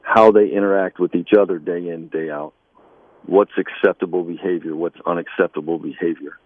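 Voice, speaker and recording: Low-voiced man in a dry radio interview. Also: fairly even intonation, fairly quick, dry recording, phone-quality sound. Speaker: basketball coach being interviewed.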